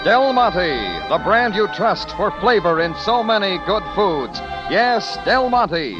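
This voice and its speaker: A man's voice: high-pitched, sing-song, slow. A man announcing in 1950s sponsored radio style, with a grand, polished sales delivery. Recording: dull vintage radio, music behind.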